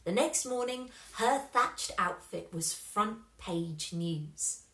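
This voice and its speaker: Animated bright-voiced woman reading stories. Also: medium pitch, takes it slowly, roomy sound. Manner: animated, dramatic emphasis. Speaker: storybook reader. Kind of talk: expressive children's story narration.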